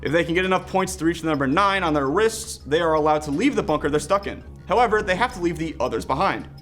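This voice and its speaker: A man's voice: on the high side, animated, fast talker, a bit bright. A man narrating an enthusiastic scripted video game review. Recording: music playing behind the voice. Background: background music.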